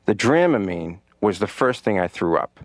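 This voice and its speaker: A deadpan cartoon patient tells his jokes in a low, flat, matter-of-fact voice. He pads his lines with "you know" and lets the punchlines drop quietly. The sound is dry and close. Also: moderate pace, no echo. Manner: deadpan stand-up delivery, many "you know"s. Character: comedian patient on the therapy couch. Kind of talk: stand-up in a cartoon.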